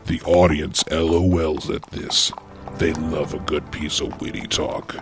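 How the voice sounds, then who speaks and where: A man narrating a comedy script like screenplay directions, in a deadpan, mock-dramatic way. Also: a bass voice, a little slow. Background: music.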